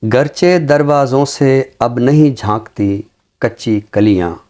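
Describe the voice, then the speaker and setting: A middle-aged Pakistani man speaking in a formal, deliberate way, as a literary commentator on a talk show. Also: medium pitch, a little mellow. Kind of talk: reflective commentary and poetry recitation on a literary show.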